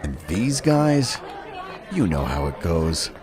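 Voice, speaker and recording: A man's voice: sits low, big pitch swings, slow, crowd noise, heavily acted. A man voicing a cocky, laid-back rich teenage boy who talks in breezy slang. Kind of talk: fan dub voice acting.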